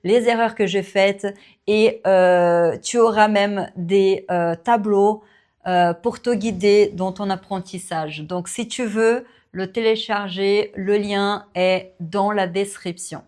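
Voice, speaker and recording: A woman who teaches French and hosts her own channel, answering viewer questions in a relaxed Q&A, with many fillers and small laughs. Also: medium pitch. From Brazil. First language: Portuguese. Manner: slow, warm, relaxed, with frequent fillers and little laughs. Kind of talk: casual Q&A to camera.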